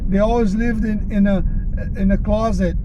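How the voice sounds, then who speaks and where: A Brazilian man with a Brazilian Portuguese accent and a fairly high voice talks in a halting, aggrieved ramble, restarting his sentences and repeating words. Cars and street noise are clearly heard around him outdoors. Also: steady tone, highs cut off. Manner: aggrieved, complaining tone. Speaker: vlogger. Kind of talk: rambling monologue.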